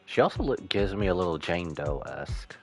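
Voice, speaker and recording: A man's voice: sits low, sing-song, moderate pace, soft background music. A man streaming a game with casual live reaction commentary, slangy, joking and incredulous in manner.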